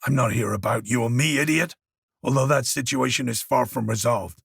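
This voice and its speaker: A man voicing a hardened, cold man who speaks in a low, cutting, sardonic way. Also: normal speed, vocal fry, a bit bright, husky, dry recording, clean studio sound, exaggerated voice. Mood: scathing.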